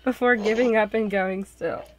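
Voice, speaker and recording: A woman reading expressive scripted narration for a visual novel. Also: mid-range voice, moderate pace. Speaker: narrator and game developer. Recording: clicks and clear background noise.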